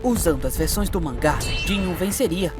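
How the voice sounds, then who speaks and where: A woman voicing an earnest, mild-mannered teenage anime boy who reasons through a cartoon debate in a measured, explanatory way. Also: on the low side, animated, natural voice. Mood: earnest, matter-of-fact. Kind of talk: animated fan debate, dubbed cartoon acting. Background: music.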